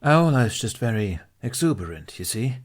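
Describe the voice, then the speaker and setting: A man's voice: medium pitch, big pitch swings, moderate pace. A man voicing a tall, genial male mentor with a polite, conversational and mildly exasperated manner in an audiobook. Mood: wry, amiable.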